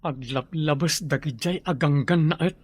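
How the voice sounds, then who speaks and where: A man's voice: on the high side, moderate pace, husky, no room sound. An actor playing a worried, earnest young male student in a Filipino radio drama. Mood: worried, pleading.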